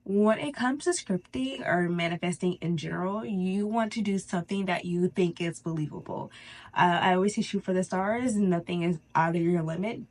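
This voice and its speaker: A woman with a stuffy, congested nose hosting a casual, chatty spiritual tutorial, informal and halting with pauses for breath. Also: on the low side, a little mellow. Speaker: host of a spirituality channel.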